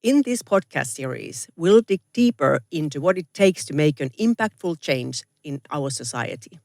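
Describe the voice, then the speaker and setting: A woman's voice: sits low, lively intonation, normal speed, a little mellow. Woman podcast host, hesitant and filler-laden. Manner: hesitant, many fillers when asking questions. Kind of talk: podcast interview hosting.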